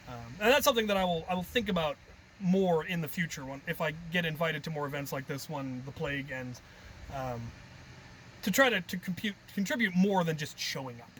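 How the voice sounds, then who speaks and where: Bright, higher-pitched man rambling casually. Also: slight background noise, rough recording. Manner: self-deprecating, jokey, hesitant thinking aloud. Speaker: hobby video creator and host. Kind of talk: casual rambling vlog storytelling.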